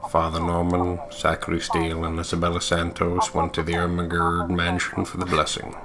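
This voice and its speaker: A man's voice: low voice, completely flat delivery, normal speed, noisy background. A man co-hosting a let's play, reading game text aloud in a playful, theatrical way with sweary asides.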